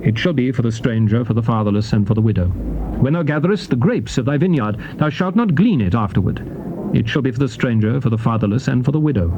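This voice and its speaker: A man giving a solemn, declamatory dramatized reading of King James scripture in measured phrases. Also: medium pitch. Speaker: scripture reader. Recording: dull, noisy old cassette tape transfer.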